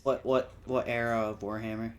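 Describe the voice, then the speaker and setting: A man's voice: medium pitch, little pitch movement, normal speed. Casual man swearing freely over music. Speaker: panelist in a video game discussion. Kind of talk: casual chat, livestream round table.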